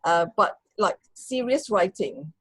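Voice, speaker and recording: A woman's voice: medium pitch, expressive, slow pace, fairly bright tone, slightly rough, no echo. A middle-aged woman with a Malaysian accent, a native Cantonese speaker, hosting an interview and speaking hesitantly with many ums. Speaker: interviewer, bookstore host. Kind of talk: casual interview.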